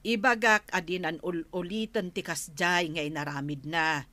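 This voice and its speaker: A woman's voice: fairly low pitch, steady tone, measured pace, sharp tone, dry recording. A woman playing a village peacemaker with a fiery temper in an Ilocano Filipino comedy drama, chiding and emphatic. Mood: placating.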